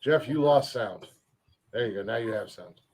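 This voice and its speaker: A young man hosting a casual comedy podcast, loud and joking, swearing often and laughing a lot. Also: fairly low pitch, moderate pace. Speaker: podcast host.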